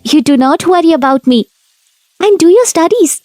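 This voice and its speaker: A woman voicing a devoted young wife, earnest and encouraging, in an animated moral story. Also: extremely high pitch, normal speed, faint music behind. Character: young devoted wife, gentle, eager, supportive.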